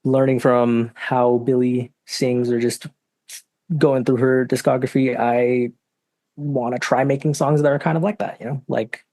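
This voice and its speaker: Soft-spoken young man, hesitant. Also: mid-range voice, level tone, a little slow, no room sound. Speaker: guest singer-songwriter. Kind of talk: casual interview chat.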